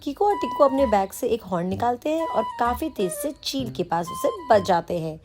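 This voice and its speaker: A woman's voice: on the high side, animated, normal speed, music in the background. A woman reading a scripted children's story as its narrator.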